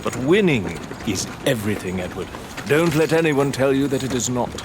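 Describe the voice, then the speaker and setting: An actor playing a proud, unrepentant aristocratic father in a gothic audio drama, measured and lecturing. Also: medium pitch, expressive, noisy background, lightly acted. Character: proud, disgraced English lord and father, unrepentant gambler. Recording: clear outdoor vehicle sound behind voice.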